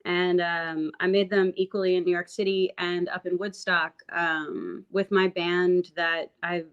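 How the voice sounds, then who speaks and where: Raspy, lower-pitched woman, musician guest, reflective and rambling, dull lo-fi sound. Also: flat delivery, measured pace. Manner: reflective, rambling with many fillers and restarts. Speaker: singer-songwriter guest. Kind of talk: casual interview chat. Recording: dull lo-fi audio.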